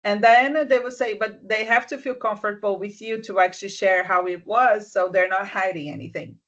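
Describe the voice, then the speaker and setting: A mentor talks through workplace advice on a video call, gently and with frequent restarts. The voice sits in a middle pitch, and the call audio comes through a little dull and muffled. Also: moderate pace. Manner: soft-spoken, restarts often, checks understanding. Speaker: business mentor. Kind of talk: advice in a live online session.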